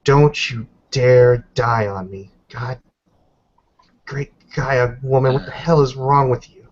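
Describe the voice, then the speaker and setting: A man's voice: medium pitch, fairly even intonation, takes it slowly, darkish tone, natural voice. A man plays a fierce werewolf warrior woman in a roleplaying game. The delivery is urgent, blunt and demanding. The sound is muffled and lo-fi. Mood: fierce, distressed. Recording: dull, lo-fi voice recording.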